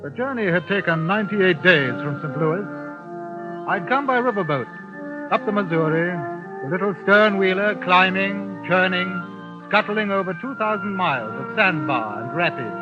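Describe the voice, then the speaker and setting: An actor playing a polite, dryly understated English gentleman reporter in a 1950s radio drama, with an English accent. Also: high-pitched, expressive, normal speed. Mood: reflective. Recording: dull lo-fi 1950s radio recording with music.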